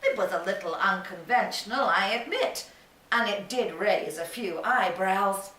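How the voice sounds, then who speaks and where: A woman's voice: mid-range voice, animated, unhurried, pushed and bright. A woman performing a theatrical monologue as a proud, good-humored older suffragist who chats, reminisces and laughs often. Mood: spirited. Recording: roomy sound from a distance.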